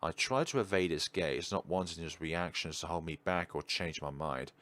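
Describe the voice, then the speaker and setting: A man's voice: low-pitched, moderate pace, a bit bright. A man hosting a gaming video, reading a visual novel aloud and commenting casually.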